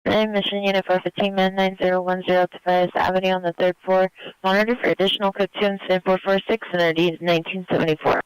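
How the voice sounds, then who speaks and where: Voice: medium pitch, flat delivery, fast, clean tone, dry recording, rough recording. A police dispatcher reading out calls in a clipped, procedural style over a band-limited radio. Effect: police radio transmission. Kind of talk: rapid police scanner dispatch.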